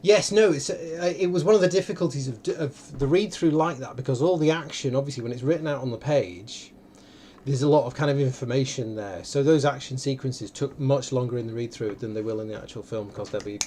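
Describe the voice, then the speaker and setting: A man's voice: mid-range voice, dark tone. Hesitant man on a noisy live stream. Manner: hesitant, halting, circles back and repeats phrases. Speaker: film-maker hosting a live online question session. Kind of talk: casual unscripted question-and-answer talk.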